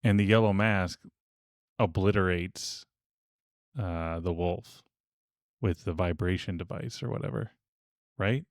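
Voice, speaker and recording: A man with a lowish voice speaks as a podcast co-host in a casual group discussion. The recording is dry, without room reflections. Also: unhurried, pauses often, no room sound.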